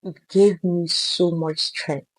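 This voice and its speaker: A woman's voice: lowish voice, fairly even intonation, slow, no room sound, poor audio. A woman from Lagos with a Nigerian accent, telling personal stories as an interview guest and repeating phrases for emphasis. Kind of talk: conversational personal storytelling.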